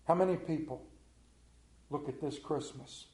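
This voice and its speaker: A man's voice: on the high side, measured pace, dark tone, a little hiss or hum. A middle-aged man with an Appalachian accent, a television pastor preaching a sermon in a folksy, storytelling way full of rhetorical questions. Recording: roomy echo.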